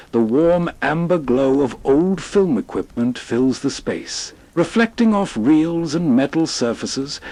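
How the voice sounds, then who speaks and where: A male host delivering steady, atmospheric scripted narration that sets a scene. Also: mid-range voice, no room sound.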